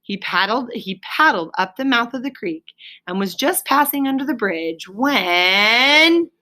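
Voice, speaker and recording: Female storyteller, bright and forceful, expressive, explanatory, reading aloud for a young audience. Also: on the high side, unhurried. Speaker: reader, storyteller. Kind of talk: reading a children's story aloud, with asides to a listener.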